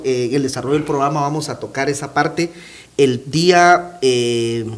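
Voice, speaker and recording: A man hosting a TV interview, asking formal, polite questions with frequent hesitations. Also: a little high. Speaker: TV interviewer, host.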